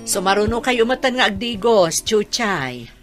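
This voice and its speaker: A woman's voice: mid-range voice, big pitch swings, measured pace, music in the background. A woman with an Ilocano accent acting a coaxing, exasperated mother in a radio drama.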